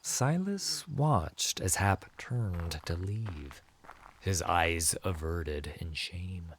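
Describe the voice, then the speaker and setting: A man narrating a dramatic fantasy story in a measured, solemn manner. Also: deep voice, expressive, slight background noise.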